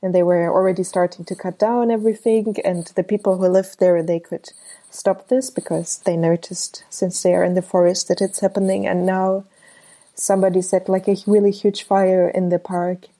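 Young woman from Germany, mellow voice, hesitant, lots of fillers, interview guest. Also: medium pitch, flat delivery, normal speed. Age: 20s. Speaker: guest photographer. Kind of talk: casual interview chat.

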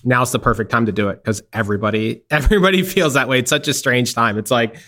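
Man, interview host, casual, chatty, filler-heavy, laughs easily, medium pitch. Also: fast, sharp tone. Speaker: podcast host.

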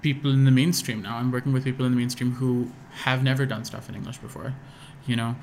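A man's voice: medium pitch, steady tone, fast talker, a little mellow, slight background noise. Hesitant young man with American accent. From Pakistan. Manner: hesitant, restarts often, many fillers. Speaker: guest musician. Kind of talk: casual podcast interview.

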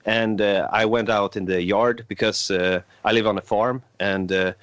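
Brief: Swedish-accented man in his twenties, podcast host, lowish voice, halting delivery full of fillers, dry close sound. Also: fairly even intonation, fairly bright tone, clear, resonant tone, no room sound. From Sweden. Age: 20s. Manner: hesitant, frequent filler sounds. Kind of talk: casual podcast chat.

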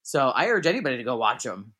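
A man's voice: medium pitch, big pitch swings, quick pace, sharp tone, slightly rough. A man hosting an interview, asking questions and chatting enthusiastically. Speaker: interview host. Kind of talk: casual interview chat.

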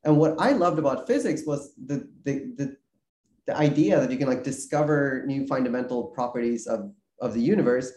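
A man's voice: mid-range voice, lively intonation, normal speed, darkish tone, reverberant. A man from Sweden, a guest on a video call interview, talking casually with many fillers and restarts. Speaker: guest researcher.

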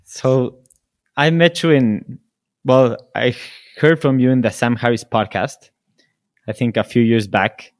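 A man hosting a podcast, a native Spanish speaker asking questions in English slowly and hesitantly, full of admiration for his guest. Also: medium pitch. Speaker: podcast host. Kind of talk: podcast interview.

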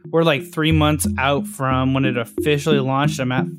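A man's voice: mid-range voice, fairly even intonation, normal speed, over loud music. A man being interviewed in a casual chat, explaining things in an informal, eager way. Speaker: podcaster and online community founder being interviewed.

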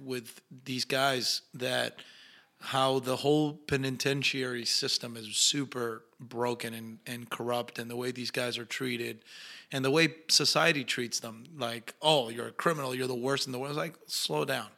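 A young adult man whose first language is Spanish, speaking English with an Argentine accent. His voice is bright and forward at a middle pitch. He tells stories in a loose, animated way and acts out the voices of the people he quotes. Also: normal speed, vocal fry. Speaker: actor guest. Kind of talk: casual interview storytelling.

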